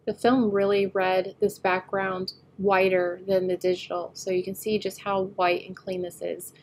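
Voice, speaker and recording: A woman getting over a head cold, slightly nasal, talking through a photo editing tutorial as she works and often trailing off quietly. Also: medium pitch, level tone, normal speed, a little hiss or hum, rough recording. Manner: thinks aloud while working, often trailing off quietly. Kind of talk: screen-recorded editing tutorial.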